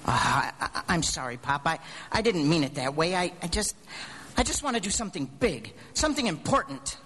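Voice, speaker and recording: A restless, ambitious young man on live radio drama. The voice is fairly high and very lively, with an eager stammer. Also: a little fast, breathy edge, heavily acted.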